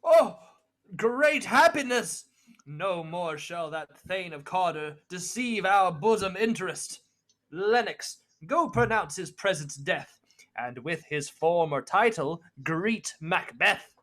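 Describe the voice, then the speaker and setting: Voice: medium pitch, expressive, slow, a bit bright. A student actor playing a grateful, regal king of Scotland, declaiming in the style of a 1940s radio Shakespeare play. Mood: relieved, commanding. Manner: stately, declamatory.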